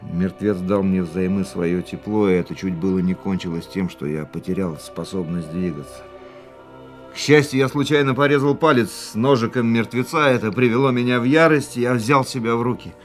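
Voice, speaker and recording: Actor playing a weary, low-voiced brooding war veteran. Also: male voice, steady tone, natural voice. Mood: haunted, reflective. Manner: measured, brooding, dramatic pauses. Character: weary war-veteran man of about forty, reflective and haunted. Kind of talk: radio drama acting, dialogue and monologue. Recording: studio radio play with music behind.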